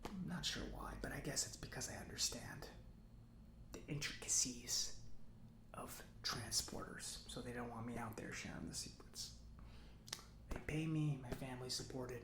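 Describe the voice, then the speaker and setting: Casting: man as nerdy, friendly government robot mechanic for ASMR roleplay; soft near-whisper, rambling, roomy echo. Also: medium pitch, moderate pace, natural voice. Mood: resigned, confiding. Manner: rambling, hesitant, casual. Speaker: repair shop mechanic character.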